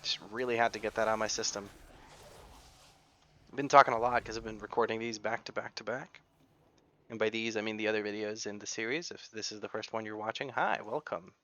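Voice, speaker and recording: A man's voice: fairly low pitch, fairly even intonation, fairly quick, fairly bright tone. A man with an American accent giving chatty, rambling gameplay commentary and often correcting himself. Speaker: gaming YouTuber.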